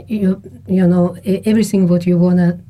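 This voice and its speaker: A woman's voice: on the low side, little pitch movement, a little fast, warm tone. A woman, a panel guest speaking earnestly with phrasing. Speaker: panel guest, event organizer.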